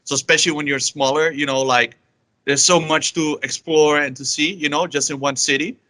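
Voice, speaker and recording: Bright-voiced Dutch man, rambling answers. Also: fairly high pitch, steady tone, a little fast, dry recording. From Netherlands. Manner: rambling, many fillers like "you know" and "like". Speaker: guest, language learner. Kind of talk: casual interview answers.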